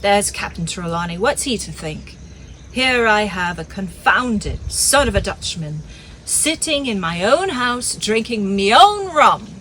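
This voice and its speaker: A woman reading an audiobook, voicing a crafty, blustering one-legged male pirate cook with loud sea-dog talk. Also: mid-range voice, slight background noise, rough recording.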